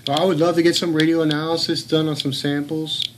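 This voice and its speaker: A man's voice: on the high side, little pitch movement, fast talker. Man, casual home vlogger, insistent and blunt, noisy phone audio. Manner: earnest, blunt, swears, speaks up insistently. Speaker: home video blogger. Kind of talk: casual filmed commentary. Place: home room.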